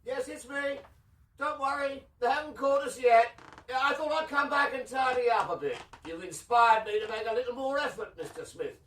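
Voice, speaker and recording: An actor playing an older, chatty, self-deprecating shopkeeper who reassures politely, in a radio drama. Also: male voice, extremely high pitch, expressive, moderate pace, a bit bright. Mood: genial, reassuring. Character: genial, deferential male shopkeeper, chatty and reassuring. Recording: echoey, far from the mic.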